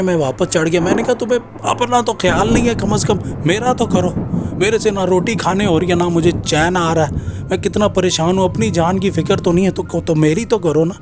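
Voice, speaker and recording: A man informally retelling a listener's scary story as a narrator, in a conversational way with repeated reporting phrases. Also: mid-range voice. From Pakistan. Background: music.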